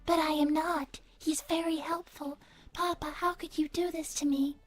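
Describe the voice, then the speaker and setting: Casting: female performer as polite artificial-intelligence daughter, childlike high voice, slow and pleading, faint music underneath. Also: fairly even intonation, natural voice. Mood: upset, reproachful. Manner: stilted, careful, plaintive. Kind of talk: in-character livestream chat.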